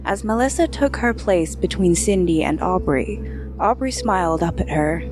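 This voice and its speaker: A woman reading a scripted audio drama as narrator, measured and storytelling. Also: lowish voice, lively intonation. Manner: measured storytelling, shifting into character voices. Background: background music.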